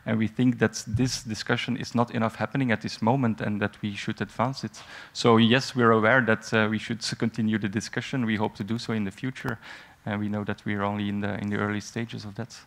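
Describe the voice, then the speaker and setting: A man speaking on a conference panel, measured and hesitant with many fillers and restarts. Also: lowish voice, level tone, creaky, a bit bright. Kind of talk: panel discussion answer.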